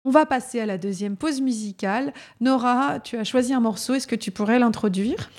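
A woman's voice: a little high, brisk, a little mellow. A woman from the Lyon area of France speaks in a casual, reflective conversation, telling personal stories with frequent hesitations. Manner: hesitant, frequent fillers, storytelling. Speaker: podcast guest and speaker.

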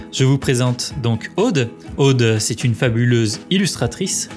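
A man co-hosting a podcast, reading a prepared script in a conversational tone. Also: mid-range voice. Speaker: podcast co-host. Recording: music playing behind the voice. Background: music.

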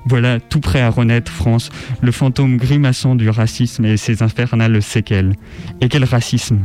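A man's voice: mid-range voice, level tone, normal speed, warm tone, soft background music. A man giving a formal, emphatic, rhetorical reading of a literary text.

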